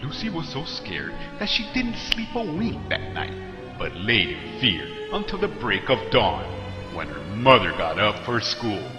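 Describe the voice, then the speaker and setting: Echoey man narrating a scary story. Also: mid-range voice. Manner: dramatic, suspenseful storytelling delivery. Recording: echoey long reverb, music behind. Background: clear background music.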